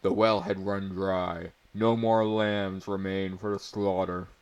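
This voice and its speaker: A man's voice: low-pitched, flat delivery, slow pace, clean tone. A man narrating a story aloud in a portentous, mock-dramatic way, with amused asides. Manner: portentous, breaking into amused commentary.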